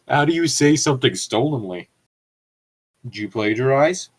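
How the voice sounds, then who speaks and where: A man's voice: medium pitch, animated, takes it slowly, husky. A man co-hosting a comedic reading, chatting casually with rambling explanations and some mild swearing. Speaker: co-host reading and riffing on fan fiction.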